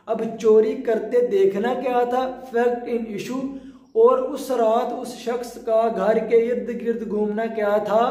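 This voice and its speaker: A man's voice: high-pitched, mellow. A man with a Pakistani accent giving an explanatory law lecture, didactically repeating and rephrasing his points. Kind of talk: explanatory lecture in Urdu mixed with English legal terms. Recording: roomy, echoing sound.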